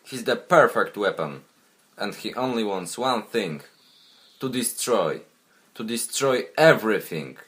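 A boastful alien scientist from a storybook, deep and gruff with a voice that swoops up and down, as a woman narrator puts him on. He drawls slowly and proudly. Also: lively intonation. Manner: gruff, lowered and slow.

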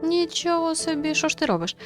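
A woman's voice: extremely high pitch, sing-song, a little fast, soft background music. A woman hosting a gaming video, chatting casually as she comments and reads along. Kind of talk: casual game commentary and read-aloud.

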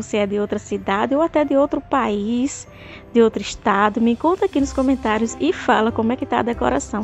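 A woman vlogger, chatting to viewers while touring a park at night, her voice a bit hoarse, recorded on a phone. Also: fairly high pitch, darkish tone. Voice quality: hoarse at times. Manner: chatty, addresses viewers directly. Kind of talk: casual vlog tour narration. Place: outdoor city park at night. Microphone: phone camera at arm's length.

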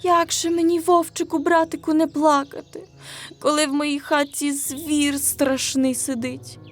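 A woman's voice: little pitch movement, a little slow, faint music behind. A woman voicing a small, sad male bunny who laments plaintively in a children's folk tale. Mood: tearful, doubtful. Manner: plaintive, high-voiced lamenting. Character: small, sad, tearful bunny driven from his home.

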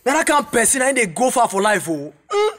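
A man playing an excitable young street hustler in an audio drama, exclaiming in Nigerian Pidgin slang. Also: very high voice, quick pace, exaggerated voice. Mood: boastful, easygoing.

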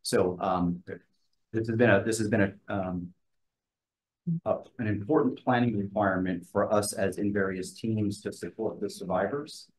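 Man, very deep voice, formal halting testimony, official, hearing room. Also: fairly even intonation, darkish tone, low-quality recording. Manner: halting, formal, many fillers. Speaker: emergency management official.

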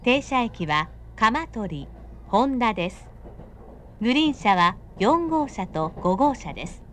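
A woman's recorded voice giving automatic train announcements in smooth, evenly paced, clipped phrases. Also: a little high, sing-song, slow pace, fairly bright tone, slight background noise. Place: inside a moving commuter train.

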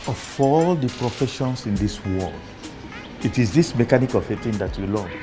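A middle-pitched older Nigerian man acted in a film drama. He is a traditional, disapproving father who chides with exasperation, with soft music behind him. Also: lively intonation, normal speed, natural voice. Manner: chiding, exasperated.

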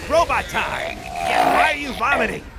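A man's voice: high voice, huge pitch swings, measured pace, creaky, noisy background, full character voice. A man voicing a brash, foul-mouthed, exasperated cyborg ninja in a theatrical, improvised game fan dub. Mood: manic, defiant.

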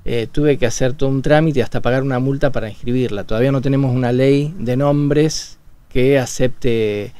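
A man from Buenos Aires with a Rioplatense Argentine accent, a guest on a radio interview, explaining things in a measured way with frequent pauses and filler sounds, recorded in a radio studio. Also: medium pitch, steady tone, mellow, clean tone. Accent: Argentine Rioplatense Spanish.

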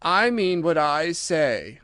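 Storybook turtle creature, male performer: ponderous, sorrowful and sleepy, very drawn-out delivery, dry close recording. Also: fairly high pitch, lively intonation, fairly bright tone, dry recording, pitched above the performer's own voice, full character voice. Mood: solemn, offended. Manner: slow, drawn-out, solemn speech. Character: mournful, drowsy, self-important old Mock Turtle creature. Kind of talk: storybook character voice.

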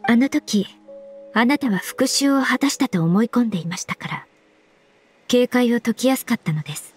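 A woman's voice: a little high, expressive, moderate pace, close to an everyday voice. An actress playing a composed, resolute young female perfumer in an anime game, speaking politely and precisely. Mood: calm confrontation. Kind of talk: Japanese anime game voice acting. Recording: loud music under the voice.

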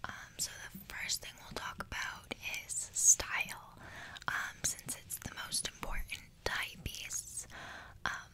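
A young woman whispering an ASMR roleplay close to the microphone in casual, slangy, playfully boastful speech. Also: a little slow. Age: young adult. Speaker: ASMR creator. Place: small bedroom indoors. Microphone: desk microphone close to the mouth.

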